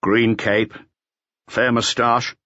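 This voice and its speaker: A man's voice: medium pitch, level tone, slow pace, sharp tone, dry recording, highs cut off, pitched above the performer's own voice. Man acting a curt, laconic police inspector. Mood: curt, businesslike. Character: gruff, terse, unimpressed male police inspector.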